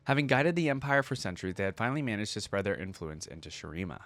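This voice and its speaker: Male reaction host, low voice, close dry sound, informal commentary and read-alouds. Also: expressive, fast talker, fairly bright tone, no room sound. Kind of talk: casual reaction commentary mixed with reading aloud.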